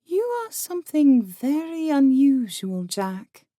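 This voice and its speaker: A woman voicing a self-assured, appraising woman of a game world in an audiobook, with a dry, commanding and deliberate delivery. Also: high-pitched, animated, mellow, no room sound. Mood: intrigued, appraising. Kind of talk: audiobook character acting.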